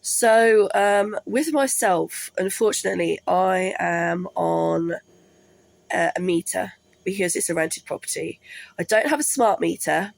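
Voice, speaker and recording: Slow, gravelly woman with a cold. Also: on the low side, dry recording, rough recording. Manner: apologetic, rambling, many ums. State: congested with a cold. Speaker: vlogger. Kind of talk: casual talk to camera. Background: washing machine running.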